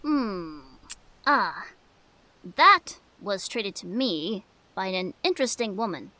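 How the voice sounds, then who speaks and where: A woman's voice: mid-range voice, big pitch swings, takes it slowly, bright, forceful tone, heavily acted. A woman playing an older female halfling merchant in a fantasy audio drama, musing and hesitant as she trades.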